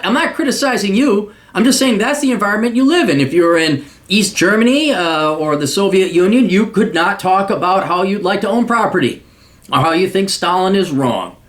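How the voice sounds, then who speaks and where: A man with a high-pitched voice rants his advice straight at the listener. He is blunt and crude, swears freely, and mocks the person he addresses while lecturing them on how the world works. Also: lively intonation, normal speed, a bit bright. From United States. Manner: profane, mocking, lecturing. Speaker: podcast host giving paid advice.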